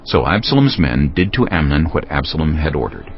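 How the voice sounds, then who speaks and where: A man's voice: rumbling low, big pitch swings, fairly quick. A man solemnly narrating a dramatized scripture story from a script. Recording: slightly dull audio with music bed.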